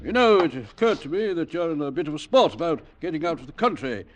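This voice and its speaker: An actor playing a smug fascist English gentleman with an upper-class accent, breezy and pompous, in 1940s radio style. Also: a little high, very animated, quick pace. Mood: breezy, chummy. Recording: 1940s radio drama broadcast.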